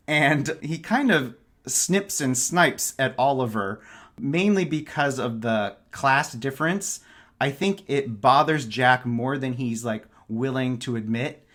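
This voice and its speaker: A man's voice: mid-range voice, expressive, slow pace, fairly bright tone. A man speaking as a podcast guest in a casual book discussion, enthusiastic and emphatic in his wording.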